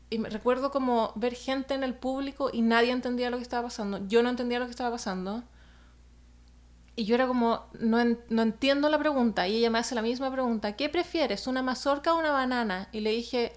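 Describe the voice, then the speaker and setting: A woman's voice: a little high, a little fast. A woman, an illustrator telling a personal story in a casual chat, hesitant with many fillers.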